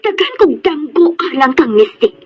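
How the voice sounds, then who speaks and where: A woman's voice: high-pitched, very animated, normal speed, highs cut off. An actress playing a stern, protective mother in a melodramatic radio drama. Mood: indignant. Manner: emotional, melodramatic. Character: stern, protective mother rebuffing a persistent suitor.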